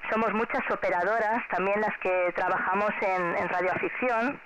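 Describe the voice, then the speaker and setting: A woman's voice: medium pitch, fairly even intonation, moderate pace. Spanish woman on a noisy ham radio. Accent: Spanish from Spain. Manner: friendly, encouraging, repeats herself. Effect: two-way shortwave radio transmission. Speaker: amateur radio operator. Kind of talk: casual radio contact chat addressed to a child. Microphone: heard through a radio transceiver speaker. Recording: noisy, muffled amateur radio signal.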